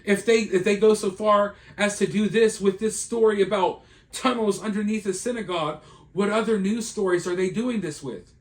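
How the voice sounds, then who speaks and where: A tired, hoarse man giving an indignant, emphatic commentary in a solo video. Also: high voice, normal speed. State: hoarse, losing his voice.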